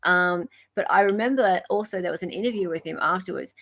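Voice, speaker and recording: A woman with an Australian accent hosting a live show with casual unscripted commentary, thinking aloud in a halting way. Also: on the low side, creaky, fairly bright tone, poor audio.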